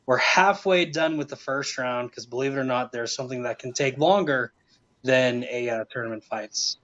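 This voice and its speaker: A man with an average-pitched voice gives casual updates on a panel show. His delivery is dry and understated, and the sound is a bit dull and narrow. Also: moderate pace, fairly bright tone. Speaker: podcast panelist. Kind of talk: casual plugs of upcoming matches.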